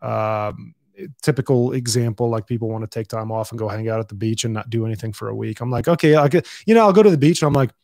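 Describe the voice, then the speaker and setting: A man in his late twenties hosting a casual talk show, telling relaxed stories and laughing easily. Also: mid-range voice, fast, gravelly, no room sound, clean studio sound. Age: 20s. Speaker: host. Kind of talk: casual podcast chat.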